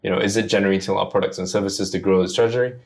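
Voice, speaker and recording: A man's voice: lowish voice, fairly even intonation, rapid-fire, gravelly, darkish tone. A man from Singapore, a guest on a podcast interview, explaining his ideas persuasively in long run-on lists. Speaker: guest, tech founder.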